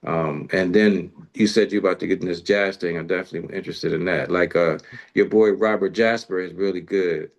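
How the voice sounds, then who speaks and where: Male music host, lower register, loose rambling delivery, colloquial and slangy. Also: steady tone, a little fast, gravelly. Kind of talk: casual interview chat.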